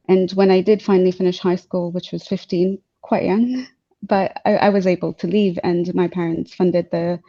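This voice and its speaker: A woman's voice: medium pitch, mellow. A young woman from Tanzania, talking in a casual interview over a video call, hesitant with many fillers and restarts. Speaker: guest speaker. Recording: dull lo-fi video call audio.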